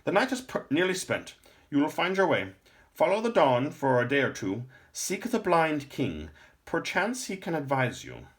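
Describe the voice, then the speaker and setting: Stern old lore master from a storybook, voice way up high, grave and scolding, old-timey wording. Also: male voice, wildly swooping pitch, normal speed. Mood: urgent, stern. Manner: grave, archaic, admonishing. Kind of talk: storybook character acting in a read-aloud.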